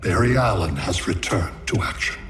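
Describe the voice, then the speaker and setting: A man's voice: deep voice, expressive, normal speed, roomy sound, background noise. A man voicing a cold, calculating villain mastermind in a video game cutscene. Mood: coldly satisfied.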